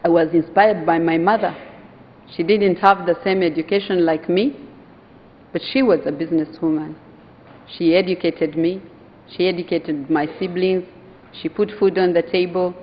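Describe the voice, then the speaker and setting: A woman from Uganda with a Ugandan accent giving an earnest, emphatic conference speech, slowing down to stress key phrases. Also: lowish voice, fairly even intonation, slight background noise. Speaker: conference speaker, hotel owners' association vice chair. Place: conference hall. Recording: dull sound in a reverberant hall.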